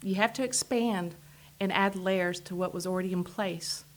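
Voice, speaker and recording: A woman's voice: medium pitch, normal speed. A middle-aged woman from Texas with a strong Texas drawl, answering questions earnestly as a political candidate in a radio interview. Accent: West Texas. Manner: earnest, affirming with frequent "correct".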